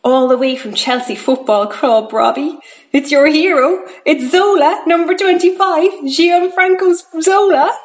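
A woman voicing an excited, encouraging father in an audiobook, his excitement half held back as he talks to his son. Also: sits high, measured pace, pitched above the performer's own voice. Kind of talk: audiobook character dialogue.

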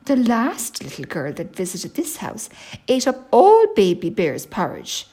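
A woman's voice: a little high, very animated, slow, darkish tone, husky, heavily acted. A woman voicing a motherly, hospitable mother bear in a children's storybook, speaking firmly and kindly. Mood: put out. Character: motherly, kindly mama bear, given to grumbling.

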